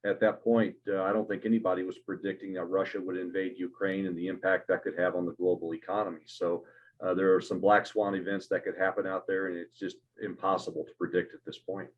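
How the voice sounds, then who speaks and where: A man with a very low voice speaks cautiously as a panelist on a video call. He pauses often on "uh". The audio sounds dull and lo-fi. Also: flat delivery. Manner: measured and cautious, frequent "uh". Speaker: uranium company CEO, panelist. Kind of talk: online panel discussion.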